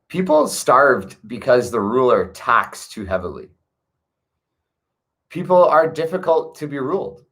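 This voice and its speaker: A man reads an ancient text at an unhurried pace, letting each phrase settle before the next. His pitch rises and falls freely as he goes, and he slips easily from reading into relaxed asides. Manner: measured, pausing, adds casual commentary. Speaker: reader of a classic text. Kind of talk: slow reflective reading with asides.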